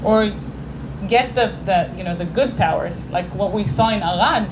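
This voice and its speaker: A woman from Israel speaks earnestly to a gathering at a mid-range pitch, stopping and restarting as she searches for words. A steady hiss of background noise runs under the recording. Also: normal speed. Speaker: guest speaker. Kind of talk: public talk and Q&A. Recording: noisy room recording.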